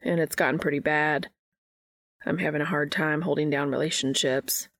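An embarrassed man in an audiobook confesses to his support group, as read by a woman. He is hesitant and stammers sheepishly. The voice is low and crackly, recorded very dry. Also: fairly even intonation, normal speed, fairly bright tone, no room sound. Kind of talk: audiobook character dialogue.

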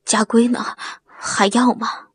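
A woman narrator playing a simple, honest-seeming young husband in an audiobook, gentle and slyly playing dumb. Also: a little slow, breathy edge, no room sound. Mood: mock troubled. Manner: low, gentle, feigned simplicity.

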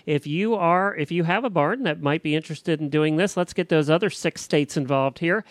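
A man's voice: fairly high pitch, normal speed, dry recording. A man speaking casually as the host of a radio-style talk show. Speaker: podcast host.

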